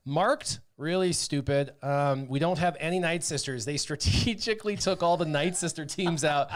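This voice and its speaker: A man casually talking through his game setup on a livestream, chatty and excitable, with lots of "um" and "like". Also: on the high side, normal speed. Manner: chatty, excitable, full of fillers like "um" and "like". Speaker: streamer playing a mobile game.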